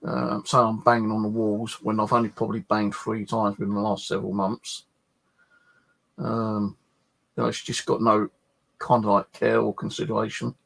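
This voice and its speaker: A man's voice: fairly low pitch, flat delivery, normal speed, creaky, a bit bright. A man chatting casually and ramblingly about his own life, grumbling and swearing in a colloquial way. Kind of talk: casual rambling personal chat.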